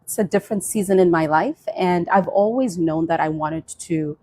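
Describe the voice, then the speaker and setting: A middle-aged woman from Zimbabwe, a restaurant owner being interviewed, speaking in a measured way with frequent ums. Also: on the low side, no echo, studio quality. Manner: warm, measured, with fillers like um. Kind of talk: interview guest.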